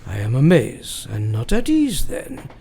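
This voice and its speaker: An actor playing a grave, devoted elderly foreign professor in a radio drama, speaking solemnly and deliberately in broken grammar. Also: male voice, mid-range voice, huge pitch swings, vocal fry, dark tone, breathy edge, exaggerated voice. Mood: solemn, fearful. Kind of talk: radio drama acting, dramatic journal narration.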